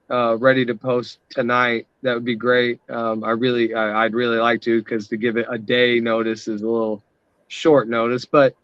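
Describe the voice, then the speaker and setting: A man's voice: medium pitch, fairly bright tone, clear, resonant tone, no room sound, dull audio. Rambling man hosting a casual livestream. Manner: rambling, many fillers and restarts, reads chat aloud. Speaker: livestream host.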